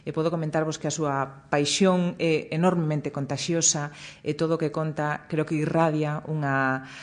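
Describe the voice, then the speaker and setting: Halting woman hosting a science talk. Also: low voice, darkish tone. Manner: halting, searching for words with many restarts. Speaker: host introducing a guest. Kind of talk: live introduction at a science talk.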